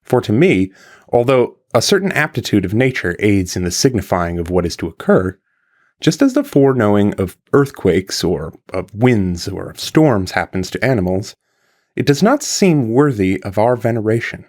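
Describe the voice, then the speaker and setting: A man with a fairly low voice reads a scripted, old-fashioned philosophical text. His delivery is formal and carefully measured, with emphatic phrasing. The pitch rises and falls widely as he moves through long sentences. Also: very animated, darkish tone. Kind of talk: scripted audiobook-style reading of an archaic philosophical translation.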